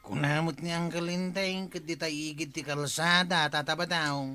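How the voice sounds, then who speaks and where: A man's voice: a little high, steady tone, normal speed, sharp tone, soft background music. A man with an Ilocano accent playing a mild, deferential village workman in a radio comedy. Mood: questioning, resigned.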